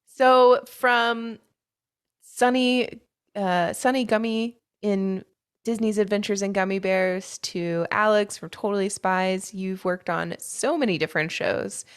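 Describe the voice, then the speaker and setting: A woman's voice: medium pitch, fairly even intonation, slow pace, clean tone. A woman hosting a casual interview.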